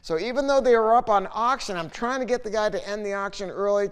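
A man's voice: quick pace, clear, resonant tone. American man in his sixties, echoey room. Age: 60s. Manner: animated, opinionated, salty, rambling storytelling. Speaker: audio dealer presenting a speaker review. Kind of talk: casual, unscripted product talk to camera. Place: large living room at home, indoors. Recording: echoey large high-ceilinged room.

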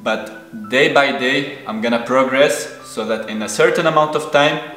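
A man's voice: medium pitch, normal speed, a bit bright, echoey room, soft background music. A man in his thirties from Lebanon, a native Arabic speaker, giving thoughtful interview answers with many hesitations. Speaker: guest. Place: indoor room on a sofa.

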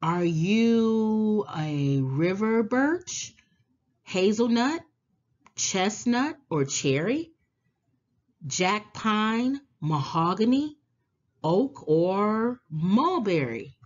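Slow, expressive woman reading a storybook. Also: mid-range voice, dull audio. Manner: deliberate, animated, with drawn-out words and pauses. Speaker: children's book read-aloud host. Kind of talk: expressive storybook read-aloud for young children.

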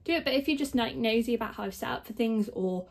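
A young woman with a croaky voice from concert screaming, chatting casually and rambling while she sorts collector binders at a table. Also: on the high side, fast talker. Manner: chatty, self-deprecating, rambling, thinking aloud. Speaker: k-pop collector vlogger. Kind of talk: casual rambling narration over binder organizing. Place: indoors at a table, filming the binder from above.

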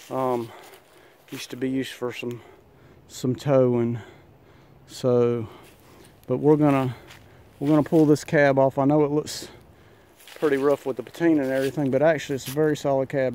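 A man's voice: medium pitch, flat delivery, moderate pace, pauses often, warm tone. A man filming on a handheld phone as he walks around a garage and wooded yard, talking in a casual, rambling way with lots of fillers. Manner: easygoing, rambling, many fillers. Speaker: vlogger and mechanic. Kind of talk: casual walkaround narration.

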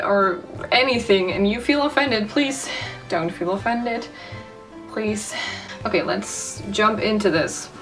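A woman's voice: mid-range voice, a bit bright, recorded from a distance. Chatty German woman vlogging. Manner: chatty, self-mocking, sounds out words slowly. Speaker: YouTuber. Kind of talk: casual language-learning vlog. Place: bedroom, indoors. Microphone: studio mic off camera.

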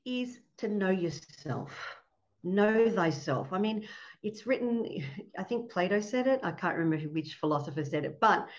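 A woman's voice: mid-range voice, animated, moderate pace. A middle-aged Australian woman, a fertility doctor, speaking earnestly as a guest on a video call from her home office, often breaking into laughter. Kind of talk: casual interview on a video call. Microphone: laptop webcam mic on a video call. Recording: dull lo-fi video call audio.

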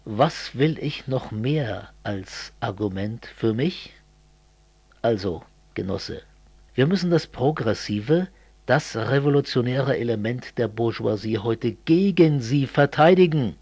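Slow, husky-voiced East German man. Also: medium pitch, expressive, darkish tone, no room sound. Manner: didactic, deliberate. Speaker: speaker in a two-man political audio dialogue. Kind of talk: scripted political dialogue.

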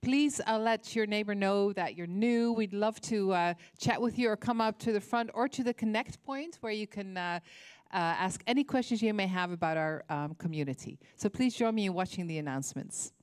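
A woman's voice: medium pitch, normal speed, smooth, dry recording, clean studio sound. A woman hosting a church service, speaking effusively and welcomingly from an auditorium stage into a handheld microphone. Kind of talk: welcome address to congregation.

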